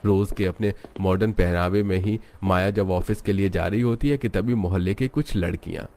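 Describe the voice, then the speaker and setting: A man's voice: low-pitched, moderate pace, a little mellow. A man narrating a scripted story as its storyteller. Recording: music bed under voice. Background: background music.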